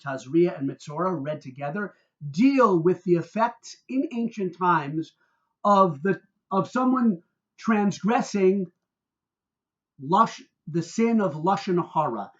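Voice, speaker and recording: A man's voice: sits high, muffled recording. A man speaking as a rabbi in a sermon-like religious talk, emphatic and heated, stacking up adjectives with dramatic stress.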